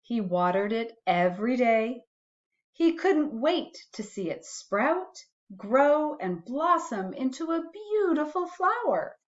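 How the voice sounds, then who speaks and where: Slow, animated woman reading a storybook. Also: high-pitched, dull audio. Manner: deliberate, animated storytelling with musing asides to young listeners. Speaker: principal reading aloud to children. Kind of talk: expressive children's storybook read-aloud.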